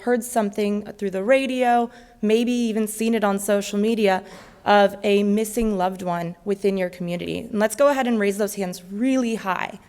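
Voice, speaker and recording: A woman delivers a prepared product presentation to an audience. Her voice sits at a middle pitch, and she speaks as a company presenter addressing a public gathering. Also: a little mellow. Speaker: presenter for an app developer.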